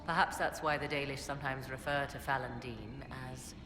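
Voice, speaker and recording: A woman playing an aloof, scholarly sorceress in a video game, speaking formally with archaic, measured, dry phrasing. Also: a bass voice, fairly even intonation, background noise. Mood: cool, wry. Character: aloof scholarly sorceress, learned in elven lore. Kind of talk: video game dialogue acting. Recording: long hall reverb, game music behind.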